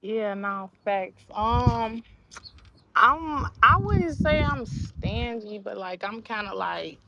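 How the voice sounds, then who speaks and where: Soft-spoken raspy woman from Chicago. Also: on the high side, lively intonation, slow, highs cut off. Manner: soft-spoken, hesitant, trailing off with fillers. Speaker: rapper, interview guest. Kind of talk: casual interview chat.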